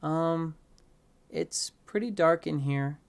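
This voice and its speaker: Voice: mid-range voice, slow pace, creaky, pitched above the performer's own voice. A performer as a timid, hesitant young child.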